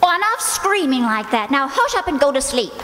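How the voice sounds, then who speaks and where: Woman acting as fussy scatterbrained wife, very high animated voice, nagging chatter, radio comedy, reverberant hall. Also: a little fast. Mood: peeved.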